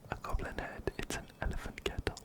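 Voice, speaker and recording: A man whispering softly and breathily into a close binaural microphone, giving gentle ASMR game commentary and often repeating words rhythmically. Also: a little fast. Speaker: ASMR gaming video host. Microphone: close-up binaural microphone, ear to ear.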